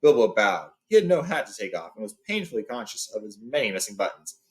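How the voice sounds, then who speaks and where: Animated man reading a story aloud. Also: fairly low pitch, quick pace. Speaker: read-along host, storyteller. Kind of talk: storybook reading with casual retelling.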